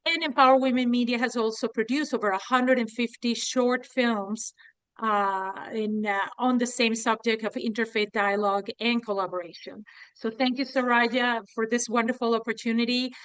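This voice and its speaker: A woman from Venezuela and Lebanon, speaking as a guest presenter in an earnest, measured way with some hesitations. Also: on the high side, steady tone, fairly bright tone, clear, resonant tone, no room sound. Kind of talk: formal presentation.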